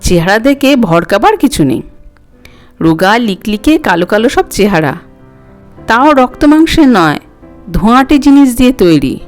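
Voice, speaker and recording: A woman's voice: a little high, big pitch swings, moderate pace, long pauses, soft background music. A woman reading a scripted story aloud as an audiobook narrator.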